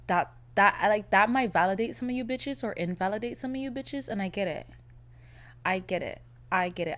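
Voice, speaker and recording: Young woman, podcast host, blunt and cursing, slightly raspy throat, lively solo chat. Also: a little high. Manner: blunt, profane, animated storytelling.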